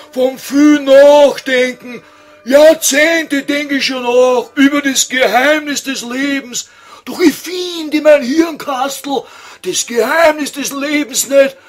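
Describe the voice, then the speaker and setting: A man's voice: extremely high pitch, slow, soft background music. A man voicing a grumpy, tormented old scholar, whining and exasperated, with a Viennese accent in a comic radio sketch.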